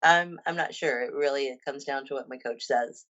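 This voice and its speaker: A woman's voice: extremely low pitch, moderate pace, a bit bright, highs cut off. A woman in her fifties, a personal trainer and bodybuilder, talking casually as a podcast guest with many ums and restarts. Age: 50s.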